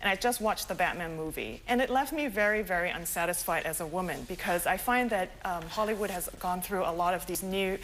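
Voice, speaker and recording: A woman's voice: fairly low pitch, brisk, few pauses, fairly bright tone, a little hiss or hum, rough recording. A woman in her thirties from Singapore, a guest author in a morning TV studio interview, chatty and full of fillers. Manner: chatty, laughs often, many fillers.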